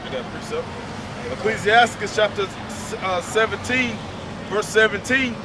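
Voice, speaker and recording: A man reading scripture aloud at a measured pace during street preaching. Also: sits high. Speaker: scripture reader. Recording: distant outdoor street recording with traffic.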